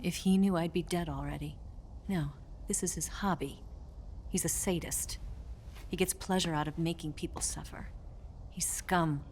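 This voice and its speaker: A woman acting a sly, low-voiced seductive spy. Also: steady tone, normal speed, pauses often, a little mellow, a little hiss or hum, natural voice. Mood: bitter, weary. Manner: teasing, seductive, breathy at times. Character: sly, flirtatious female double-agent spy, cool and teasing. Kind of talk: dramatic video game cutscene acting. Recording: music underneath. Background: cinematic game music.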